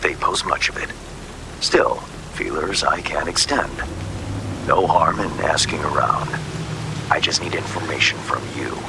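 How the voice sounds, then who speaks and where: An actor playing an urbane, cagey fixer in a video game, with a breathy murmur and florid, wry, theatrical phrasing. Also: male voice, extremely low pitch, unhurried. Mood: sly, measured. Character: urbane, cagey underworld fixer man with sly wit. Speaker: game character, underworld fixer. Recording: rain and ambient noise behind.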